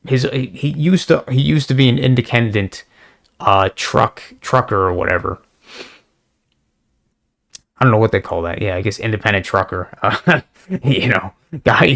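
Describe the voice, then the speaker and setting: A young man with a slightly hoarse voice, rambling casually in a monologue, full of fillers, restarts and swearing. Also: on the low side, fairly bright tone. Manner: halting, many fillers and restarts; casual and profane. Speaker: video response creator.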